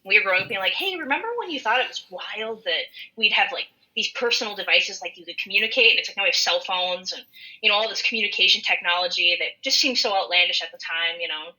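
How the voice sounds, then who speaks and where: Bright-voiced young Minnesotan woman. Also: medium pitch, lively intonation, fast talker, poor audio. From rural western Minnesota, USA. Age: 20s. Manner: rambling, enthusiastic, peppered with "like". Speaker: podcast co-host. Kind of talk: casual podcast chat. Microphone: internet voice call.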